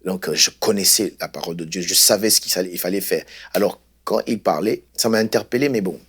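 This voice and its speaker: A man from Congo, a guest pastor telling his life story in an unhurried, hesitant way. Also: low-pitched, fairly bright tone, breathy edge. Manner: unhurried, hesitant, many fillers.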